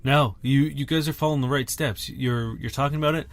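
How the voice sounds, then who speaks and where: A man's voice: mid-range voice, fairly even intonation, no echo. A man co-hosting a podcast in casual chat, candid and swearing freely, talking over his co-host. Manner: candid, swears freely, quick overlapping banter.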